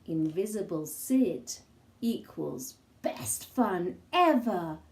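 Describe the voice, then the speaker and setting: A woman reading a children's story aloud in an expressive style, with measured, dramatic phrasing and pauses. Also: fairly low pitch. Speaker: storybook reader.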